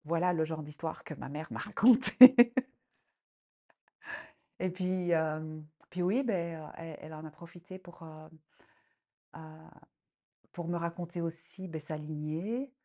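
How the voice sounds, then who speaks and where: A woman in her sixties, born in Congo, a storyteller recounting a family tale with many pauses, fillers and restarts that livens up as the tale goes on. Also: low-pitched. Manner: halting, many fillers and restarts, animated when telling the tale. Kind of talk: personal account and folk tale.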